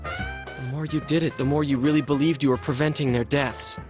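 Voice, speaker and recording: A man's voice: on the high side, steady tone, moderate pace, over music, lightly acted. An actor playing an earnest, determined teenage boy in a video game, casual and forthright in delivery. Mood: reflective. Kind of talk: English game voice acting.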